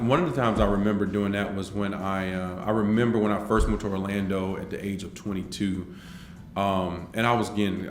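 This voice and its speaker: A man's voice: low voice, reverberant, slight background noise. A man in his forties in a filmed interview, telling his life story in an earnest, rambling way with many restarts. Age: 40s.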